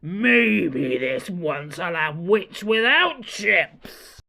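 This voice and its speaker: A woman's voice: lowish voice, sing-song, drawn-out words, creaky, bright, forceful tone, pitched below the performer's own voice, exaggerated voice. A woman voicing a mean, hungry male dragon in a storybook reading, roaring and drawn out. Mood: menacing.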